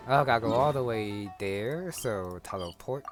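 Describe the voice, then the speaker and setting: A man's voice: medium pitch, lively intonation, slow, over music. A man giving casual let's-play commentary, muttering wry asides to himself. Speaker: gaming channel host.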